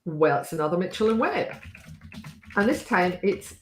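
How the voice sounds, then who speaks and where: Chatty, low-voiced woman in an echoey room. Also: very animated, moderate pace, a little hiss or hum. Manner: chatty, wry, many fillers and restarts. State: slightly hoarse. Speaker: host. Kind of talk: casual live-stream talk.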